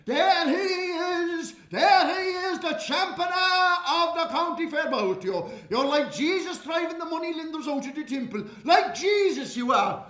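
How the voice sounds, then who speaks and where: Booming, gushing Irish shopkeeper in a stage monologue, high and loud, as a man puts him on, echoey room. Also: lively intonation, a little slow, hardly any pauses, full character voice. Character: jovial booming male hardware shopkeeper, middle-aged.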